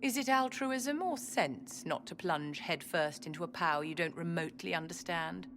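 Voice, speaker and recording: A disdainful court enchantress in a fantasy game. She speaks in a lowish, poised voice with condescending bite, over faint background noise. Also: normal speed, a bit bright. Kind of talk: dramatic fantasy game acting.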